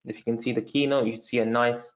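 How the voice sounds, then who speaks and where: A man's voice: mid-range voice, flat delivery, fast talker, muffled recording. A man from London with a London accent, hosting a live online marketing training, casual and full of fillers. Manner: casual, many fillers, talks to viewers as guys. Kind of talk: live webinar sales training.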